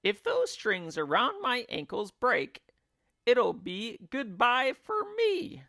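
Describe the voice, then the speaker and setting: A man's voice: extremely high pitch, wildly swooping pitch, takes it slowly, gravelly, bright, forceful tone, dry recording, studio quality, voice pushed up, exaggerated voice. A man voicing an ugly, silly old wife in a storybook, with a dramatic, exclaiming delivery. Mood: anxious. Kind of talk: storybook character voice.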